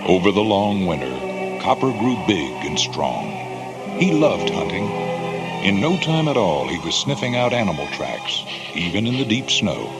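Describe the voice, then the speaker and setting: A man's voice: sits low, big pitch swings, measured pace. A man narrating a scripted children's storybook as a read-along. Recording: loud music behind the voice.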